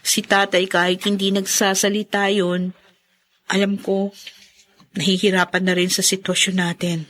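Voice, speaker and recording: A woman plays a soft-hearted younger sister in a radio play, with a Filipino accent. Her medium-pitched voice is caring and concerned, gently urging. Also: little pitch movement, moderate pace, lightly acted. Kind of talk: radio drama acting.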